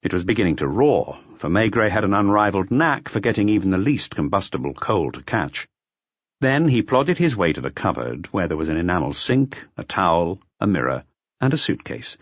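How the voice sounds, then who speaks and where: Male storyteller for crime audiobook: lowish husky voice, expressive range, dry but slightly muffled recording. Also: fairly quick, no room sound. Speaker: audiobook narrator. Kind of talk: scripted narration of a detective novel.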